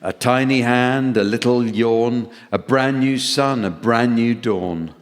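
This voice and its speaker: Deadpan man chairing, in a reverberant hall. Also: mid-range voice, takes it slowly. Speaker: chairman of a comedy panel show. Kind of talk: hosting a radio panel game, reading out verses. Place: theatre stage before an audience. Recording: noisy hall with long reverb.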